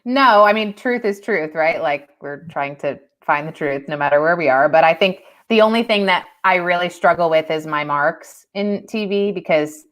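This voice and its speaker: A woman guest on a casual video-call chat, easygoing and quick to laugh. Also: on the low side, a bit bright. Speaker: guest, actress.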